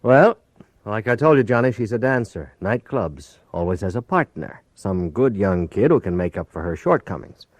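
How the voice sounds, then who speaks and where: A man's voice: fairly low pitch, lively intonation, moderate pace, no room sound. A man playing a teasing, exasperated American insurance adjuster in a 1950s radio drama, talkative and emphatic. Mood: teasing, explanatory.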